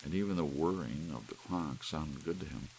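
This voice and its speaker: A man reading a story as an ASMR audiobook narrator, with soft rain and a light hiss behind him. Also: rumbling low, normal speed, vocal fry.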